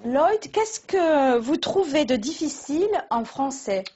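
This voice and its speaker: High-voiced gentle woman interviewer. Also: sing-song, slow pace, muffled recording. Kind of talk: interview questions.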